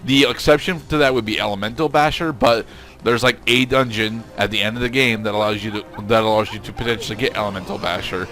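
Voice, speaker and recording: A man giving explanatory let's play commentary in a halting way, often restarting his phrases. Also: on the low side, steady tone, pushed and bright, faint music behind. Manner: halting, hesitant, with repeated restarts.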